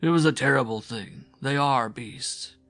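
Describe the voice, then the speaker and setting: A man voicing a big, overgrown young soldier who brings bad news, apologetic and uneasy, speaking slowly and haltingly. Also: mid-range voice, gravelly, fairly bright tone, no echo, faint music behind, voice pushed up. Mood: sorrowful.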